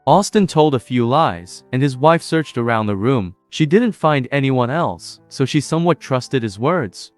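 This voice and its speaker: A man reading scripted narration for an animated story in a steady, even storytelling manner. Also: medium pitch, unhurried, hardly any pauses, no echo. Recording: dry voice-over with faint music.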